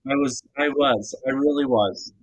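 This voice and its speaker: A young man who was born in Bulgaria, a guest on a radio interview, talking in a relaxed, halting way with many ums. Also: mid-range voice, low-quality recording. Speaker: opera singer guest. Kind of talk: casual interview talk. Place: radio studio.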